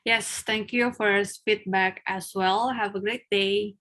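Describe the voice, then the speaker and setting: A young woman in a mock English speaking test, answering and then asking questions, often pausing with filler sounds. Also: mid-range voice, fairly even intonation, a bit bright. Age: young adult. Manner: hesitant, frequent filler sounds. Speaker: test candidate, then examiner.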